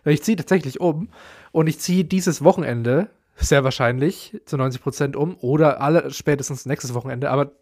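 A man co-hosting a casual podcast, his voice a bit hoarse from a sore throat, talking in an easygoing, joking way. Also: on the high side, moderate pace, a little mellow, dry recording, studio quality. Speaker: podcast co-host. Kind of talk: casual chat. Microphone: home podcast mic.

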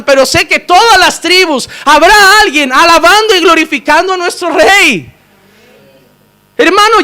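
Man, pastor, high-pitched, fiery sermon, loud and soft turns. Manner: impassioned preaching, swings between shouting and low quiet asides.

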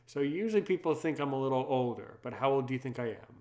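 A man's voice: lively intonation, fast talker, darkish tone. Earnest French-speaking man, thirties. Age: 30s. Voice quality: deep. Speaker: conversation partner. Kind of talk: casual chat.

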